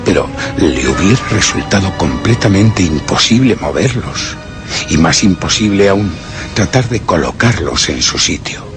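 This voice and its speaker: A man's voice: low-pitched, lively intonation, measured pace, music in the background. An actor with a Castilian Spanish accent playing a tormented man who reasons obsessively in a brooding, formal monologue for suspense radio theatre. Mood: puzzled, reasoning. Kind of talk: dramatic first-person suspense radio theatre narration.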